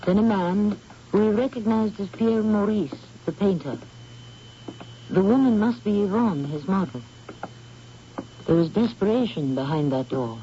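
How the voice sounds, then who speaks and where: A man hosting and narrating a radio drama in a measured, formal storytelling manner. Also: high-pitched, expressive, mellow. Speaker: host and narrator. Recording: dull old radio broadcast, faint hiss.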